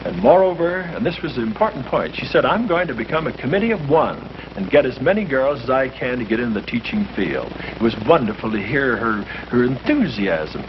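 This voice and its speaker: A priest speaking earnestly to a small group, with a lively, preacherly way of stressing his points. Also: mid-range voice, fairly quick, few pauses. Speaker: priest leading a discussion. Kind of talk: earnest scripted speech in a 1951 short film. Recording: muffled old film soundtrack with hiss.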